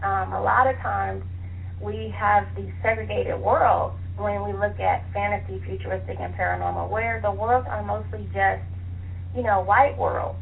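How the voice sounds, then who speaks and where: A woman's voice: mid-range voice, fairly even intonation, moderate pace. A woman being interviewed as an author, chatting in an enthusiastic, conversational way over a phone line. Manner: chatty, enthusiastic, laughs easily. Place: phone call to internet radio show. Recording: tinny phone line with clear hiss.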